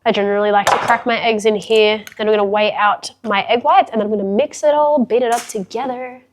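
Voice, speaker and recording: A woman's voice: mid-range voice, fairly even intonation, fast, a little hiss or hum. A young woman with a congested, sick-sounding voice, chatting frankly and upbeat through a cooking tutorial in her home kitchen. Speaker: fitness vlogger.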